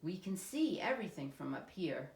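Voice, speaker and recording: An eager little storybook boy, acted out by a woman, full of bossy make-believe. The delivery swings up and down with dramatic excitement, in an echoey room. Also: lowish voice, normal speed, creaky. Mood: confident. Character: eager, imaginative little boy playing pretend.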